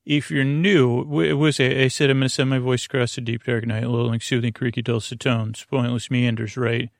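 A man hosting a bedtime podcast in a creaky voice, meandering haltingly and soothingly through tangents. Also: mid-range voice, little pitch movement, no room sound, clean studio sound. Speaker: podcast host. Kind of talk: rambling bedtime podcast monologue.

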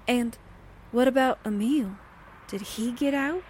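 A woman's voice: on the high side, measured pace, a little mellow, a little hiss or hum, close to an everyday voice. A woman playing a spirited, plain-spoken newspaperwoman sidekick in old-time radio drama style, folksy and exclamatory. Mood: frank.

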